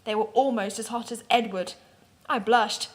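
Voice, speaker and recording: High-voiced woman narrating with mock seriousness. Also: fairly even intonation, normal speed. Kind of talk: comic dramatic reading of fan fiction, narration.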